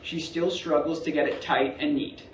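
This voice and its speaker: A man's voice: a little high, flat delivery, moderate pace. A man reading out stage directions as scripted narration at a staged play reading. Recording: distant, echoey, faintly noisy staged reading.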